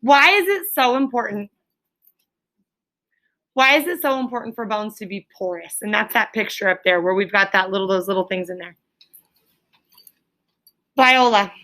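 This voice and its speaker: Bright-voiced woman teacher quizzing a class. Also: medium pitch, animated, normal speed, pauses often. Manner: prompting, often repeats questions and tags with "right?". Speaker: elementary school teacher. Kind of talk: classroom question-and-answer teaching. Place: classroom with pupils.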